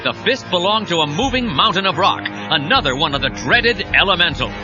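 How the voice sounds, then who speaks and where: A man's voice: high-pitched, expressive, a little fast. A man narrating a scripted superhero adventure in a dramatic storytelling style. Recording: dull cassette audio with music.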